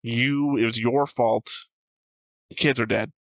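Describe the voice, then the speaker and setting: A man's voice: mid-range voice, steady tone, a little slow, a bit bright, no echo. A man who hosts a reaction show, talking casually and discursively about a series, rambling and swearing freely.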